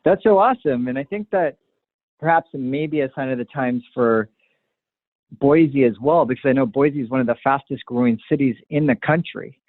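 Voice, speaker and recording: A man's voice: medium pitch, moderate pace, no room sound. A man hosting an interview in a conversational style. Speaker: interviewer, host. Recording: narrow phone-like line, dry.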